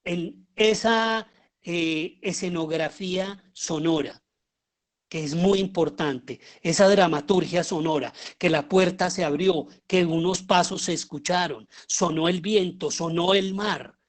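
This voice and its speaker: A man with a Colombian accent giving an explanatory lecture as a teacher, often pausing and posing rhetorical questions. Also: high voice. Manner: halting, with pauses and rhetorical questions. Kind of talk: explanatory lecture on writing audio fiction.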